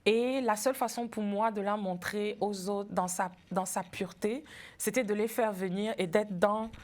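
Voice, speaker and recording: A woman from Cameroon in her forties talks as a guest in a TV interview. Her pitch is mid-range. She speaks in a friendly way and laughs easily, with thoughtful pauses as she explains her ideas. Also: steady tone, a little mellow. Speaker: festival founder, guest. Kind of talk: TV interview conversation.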